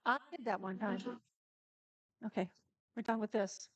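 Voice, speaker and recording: A woman's voice: medium pitch, steady tone, moderate pace, husky, no room sound, lo-fi sound. A woman hosting a casual live-stream cooking demo, chatting straight to her viewers and laughing easily.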